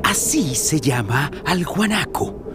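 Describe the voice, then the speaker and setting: An actor playing an excitable, commanding father who hunts and teaches his children in a radio play. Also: a little high, takes it slowly, music in the background. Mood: hushed, commanding. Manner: hushed instructions bursting into cries. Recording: noisy outdoor ambience.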